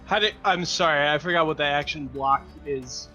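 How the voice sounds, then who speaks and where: A man's voice: a little high, normal speed, sharp tone, soft background music. A man chatting casually as a player in a tabletop roleplaying game, on a lo-fi mic.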